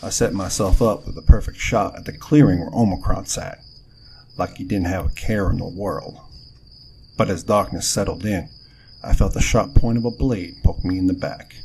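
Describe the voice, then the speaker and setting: An actor playing a plainspoken, loyal adventurer in a first-person audio drama, with some ambience behind him. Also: male voice, low-pitched, normal speed, vocal fry, background noise. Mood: reflective. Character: plainspoken, loyal male adventurer recounting his tale.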